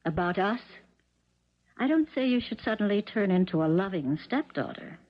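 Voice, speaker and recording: Actress playing a patient middle-aged stepmom, calm measured talk, 1970s radio drama, dull recording. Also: female voice, mid-range voice, lightly acted. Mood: earnest, conciliatory. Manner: measured, conciliatory. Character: patient, reasonable stepmother seeking peace.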